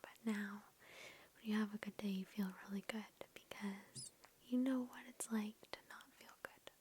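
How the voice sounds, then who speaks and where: A woman whispering a guided ASMR meditation in a breathy, gentle and reassuring way, close to the microphone. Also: medium pitch, fairly even intonation, moderate pace. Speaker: ASMR host. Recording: lo-fi with background noise and clicks.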